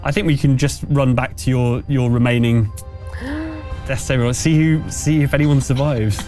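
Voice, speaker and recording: A man's voice: mid-range voice, expressive, moderate pace, dark tone. Man running a tabletop horror game. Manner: conversational, explanatory, talking players through rules and outcomes. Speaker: game master. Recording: faint music underneath. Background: music.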